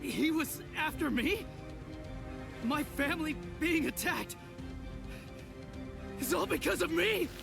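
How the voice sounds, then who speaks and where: A man's voice: very high voice, lively intonation, slow, creaky, long pauses, full character voice. A man voicing a hot-headed, defiant teenage boy in an anime game dub, blunt, intense and emotional. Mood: shaken, disbelieving. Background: background music.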